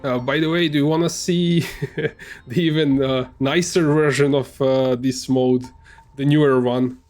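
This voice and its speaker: A man's voice: medium pitch, steady tone, unhurried, a little mellow, soft background music. A man whose first language is Serbian, casually commenting on a game he is playing, in a hesitant way with many fillers and restarts. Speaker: gamer streamer. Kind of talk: casual let's play commentary.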